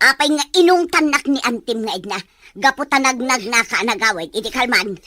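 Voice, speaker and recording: Sly, sharp-voiced scolding grandmother. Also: a little high, lively intonation, brisk, dry recording. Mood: angry scolding. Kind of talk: Ilocano radio drama acting.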